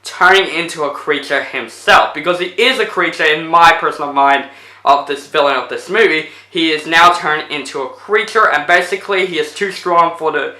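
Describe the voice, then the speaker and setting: A young man talks through a movie review in a loose, rambling way, stacking fillers as he retells scenes. His voice is bright and sits a little high, and it sounds worn out. The room around him is echoey. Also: moderate pace. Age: young adult. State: voice getting tired. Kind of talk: casual video review. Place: indoors in front of a plain blue backdrop.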